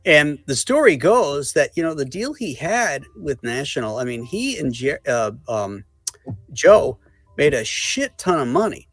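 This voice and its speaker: A man in a casual panel chat, explaining history in a halting way. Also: fairly high pitch, big pitch swings, fairly bright tone, no room sound, faint music behind.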